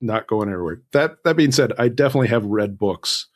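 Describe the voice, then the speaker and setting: A man with a fairly low voice speaks as a guest author in a relaxed interview. He tells things conversationally, with self-deprecating asides and little quoted exchanges with himself. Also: a little fast. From Southern California.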